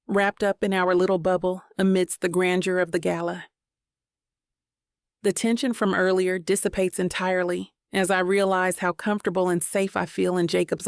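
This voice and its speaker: A woman's voice: medium pitch, vocal fry, no echo, clean studio sound. A woman reading a first-person romance audiobook as scripted narration.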